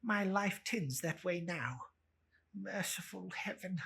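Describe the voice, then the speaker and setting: A performer voicing a horrified, repentant old male miser, halting, breathy and fearful, in a dramatic Victorian storybook reading. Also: medium pitch, fairly bright tone, slight background noise. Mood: shaken, fearful.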